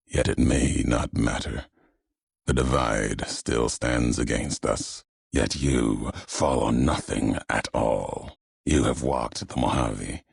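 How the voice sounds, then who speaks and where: A man voicing a brooding, vengeful wasteland messenger in a video game, speaking in solemn, measured, cryptic pronouncements with a gravelly, husky voice. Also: rumbling low, no room sound, clean studio sound, full character voice. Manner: solemn, measured, cryptic oratory with weighted pauses. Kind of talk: dramatic video game character monologue.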